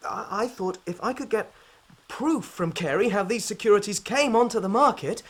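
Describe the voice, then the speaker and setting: A frantic young suspect. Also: male voice, high-pitched, lively intonation, slightly rough. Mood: nervous. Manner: anxious, halting and pleading. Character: distraught young man under suspicion, pleading. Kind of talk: radio drama acting.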